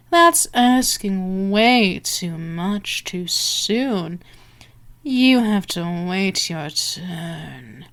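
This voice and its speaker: A woman playing a dominant female vampire for an ASMR roleplay, teasing, condescending and menacing, moving between murmurs and whispers. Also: medium pitch. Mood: teasing, coaxing.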